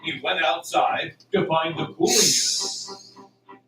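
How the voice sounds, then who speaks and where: A man's voice: on the high side, takes it slowly. A man narrating a scripted audio drama in a theatrical, suspenseful storytelling style. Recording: roomy, distant, music underneath.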